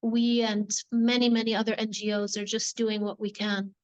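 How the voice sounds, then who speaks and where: A woman's voice: medium pitch, little pitch movement, normal speed, highs cut off. A woman who grew up in Morocco, guest on a podcast interview, speaking reflectively with many fillers. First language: English and Moroccan Arabic. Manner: reflective, uses many fillers like "like" and "you know". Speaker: guest, nonprofit founder. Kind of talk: conversational interview.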